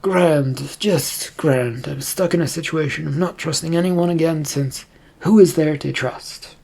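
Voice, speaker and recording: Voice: mid-range voice, unhurried, vocal fry, darkish tone, husky. A narrator voicing a distrustful young man in a storybook, muttering, hesitant and sarcastic. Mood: exasperated. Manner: muttering, stammering, grudging.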